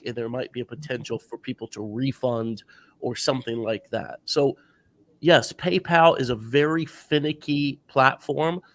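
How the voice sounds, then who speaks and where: A man hosting an interview podcast, stuffed up with a sore throat, giving earnest coaching advice in a casual chat. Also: mid-range voice. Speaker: podcast host.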